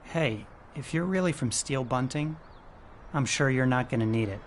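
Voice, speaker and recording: An actor playing an anxious, earnest spaceship survivor in a video game, with a dry conversational delivery. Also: male voice, mid-range voice, normal speed, lightly acted. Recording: game music behind the voice. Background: game background music.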